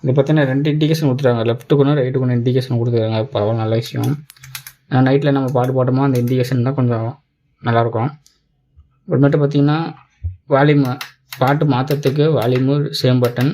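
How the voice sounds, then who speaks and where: A man's voice: mid-range voice, fairly even intonation, quick pace, mellow. Tamil Nadu man, casual reviewer, noisy recording. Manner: colloquial, repetitive, step-by-step explaining. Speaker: tech video reviewer. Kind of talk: casual product unboxing and demo. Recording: clear background noise, clicks.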